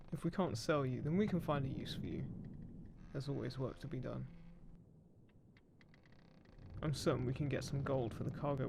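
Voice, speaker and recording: A man playing a roguish, smug, gruffly caring undead pirate captain in a roleplay audio drama, teasing and menacing, speaking unhurriedly to the listener. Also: medium pitch, noisy background, natural voice. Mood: cool, taunting. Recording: constant ship creaking ambience behind voice. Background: creaking wooden ship sound effects.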